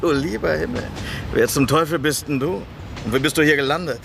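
Gravelly, suspicious streetwise underworld man. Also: fairly high pitch, fairly quick, noisy background. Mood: mocking. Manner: brusque, sardonic, impatient. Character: curt, suspicious, streetwise male shady underworld contact. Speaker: actor in a radio play. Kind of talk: radio drama dialogue.

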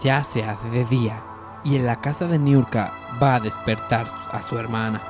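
A man's voice: mid-range voice, steady tone, moderate pace. Male radio soap narrator, mid-range voice, scripted delivery over light music.

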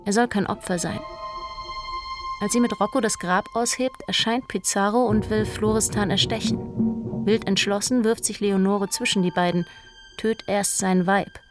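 A woman's voice: medium pitch, fairly even intonation, normal speed, music in the background. A woman reading a scripted dramatic story narration as a radio narrator. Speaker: narrator of an opera plot.

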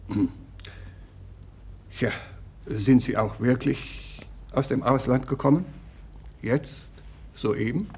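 An actor in a radio play playing a wary, stiff manservant with a formal, officious and slightly hesitant manner. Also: male voice, medium pitch, slow, pauses often, a little mellow, slightly rough. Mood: suspicious, officious. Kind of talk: 1950s German radio play acting.

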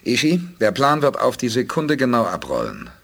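An actor playing a resolute, calm spaceship commander who gives precise orders in a 1980s radio play. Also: male voice, mid-range voice, normal speed, creaky, exaggerated voice. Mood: calm authority.

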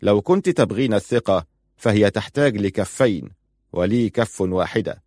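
A man's voice: lowish voice, animated, moderate pace, darkish tone, dry recording, clean studio sound. A man playing a stern, proud and suspicious husband in audiobook dialogue, firm, curt and challenging.

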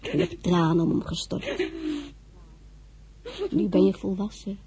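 Mellow, motherly, fussing old servant. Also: mid-range voice, big pitch swings, unhurried. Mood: consoling, world-weary. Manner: fussing, soothing, repeats herself. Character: motherly, fussing older female servant, protective and worldly-wise. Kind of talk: radio drama acting.

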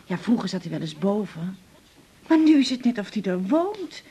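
An actress playing a worried, hesitant middle-aged mother with a husky, breathy voice in a radio drama. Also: mid-range voice, big pitch swings, normal speed, dark tone, highs cut off. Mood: worried, helpless. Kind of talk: naturalistic 1980s Dutch radio drama acting.

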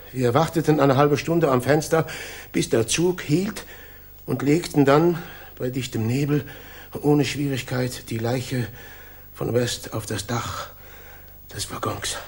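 An actor in a German radio drama playing a cornered, fearful colonel confessing as a traitor, halting under pressure. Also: male voice, medium pitch, husky. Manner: halting, stammering when pressed.

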